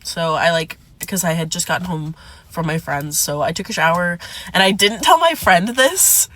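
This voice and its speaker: A woman's voice: lowish voice, normal speed. A young woman who is sick and a little congested, telling a messy personal story in a sarcastic, dramatic storytime vlog. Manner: animated, sarcastic, dramatic retelling.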